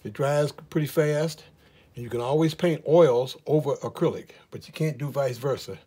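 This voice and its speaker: A middle-aged man with a husky, slightly hoarse voice, teaching oil painting in a relaxed, rambling way with many fillers in his studio. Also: medium pitch, moderate pace. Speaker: art teacher. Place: studio, in front of an easel.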